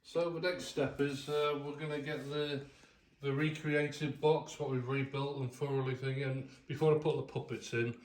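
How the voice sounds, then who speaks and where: A British man explaining his restoration work in a halting, matter-of-fact, colloquial way. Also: medium pitch, level tone. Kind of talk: casual hands-on explanation. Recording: roomy, off-mic, background hum and noise.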